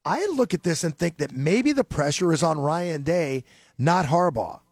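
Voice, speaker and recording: A man co-hosting a sports talk radio show, sounding nasal and stuffed up, talking sports calmly. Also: a little high, normal speed, creaky, breathy edge, no echo, studio quality.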